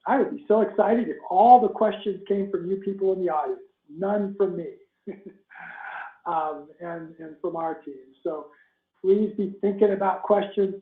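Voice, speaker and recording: A man hosting an online webinar over a video call, chatty and enthusiastic as he welcomes the audience and guest. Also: high-pitched. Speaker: webinar host. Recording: tinny phone-like line, echoey room.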